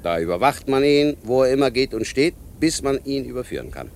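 A man's voice: medium pitch, expressive, a little fast, vocal fry, a little mellow. A man playing a friendly, teasing small-town policeman in a children's radio play, jovial and mock-solemn. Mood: matter-of-fact.

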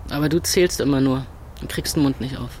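A man's voice: medium pitch, brisk, background noise, lightly acted. A man playing a gloomy, sarcastic young music club owner in a radio play, speaking colloquially and brooding. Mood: weary. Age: young adult. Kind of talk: radio play acting and narration. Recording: music behind the voice.

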